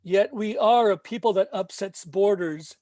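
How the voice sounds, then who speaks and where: A man from Mexico reads his poetry in a slow, emphatic chant that leans on repeated lines. His voice sits high for a man. The sound is dry and close, with no room around it. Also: warm tone, no room sound. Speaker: poet. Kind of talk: poetry reading.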